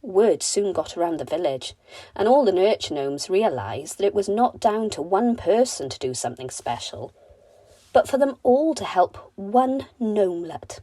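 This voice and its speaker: A woman reads a children's bedtime story with gentle expression. Her voice is mellow and mid-pitched, and the recording is close and dry. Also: very animated, normal speed, dry recording.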